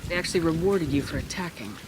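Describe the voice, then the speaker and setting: Woman as a firm, low-voiced military commander. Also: quick pace, noisy background, natural voice. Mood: concerned. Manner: firm, direct, controlled. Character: resolute female military starship commander, level-headed. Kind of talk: video game dramatic acting.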